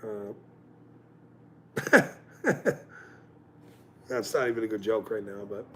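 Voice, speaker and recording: A man in his forties hosting a livestream, ranting angrily with profanity and loud outbursts, then joking about himself. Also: fairly low pitch, slow, slight background noise. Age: 40s. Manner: angry, profane, with loud outbursts and self-mocking laughs. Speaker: channel host. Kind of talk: livestream rant.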